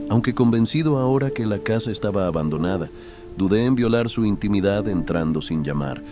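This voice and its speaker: A man narrating a literary horror story in a solemn, measured manner. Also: sits low. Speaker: audiobook narrator.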